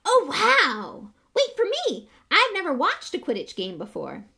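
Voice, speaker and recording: A woman voicing an excitable young schoolboy fan who gushes questions in an eager, breathless rush. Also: extremely high pitch, unhurried, creaky, pitched above the performer's own voice, full character voice. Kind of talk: audiobook character voice.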